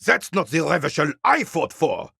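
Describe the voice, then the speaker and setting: A proud old war veteran from a video game, with a heavily creaking, husky voice that swings widely in pitch. He speaks like a formal old soldier, haughty and embittered, grumbling at those beneath him. The sound is dry and close. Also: huge pitch swings, normal speed, pushed and bright, dry recording, heavily acted. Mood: indignant. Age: older. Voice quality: gravelly, raspy, husky. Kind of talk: dramatic video game character acting.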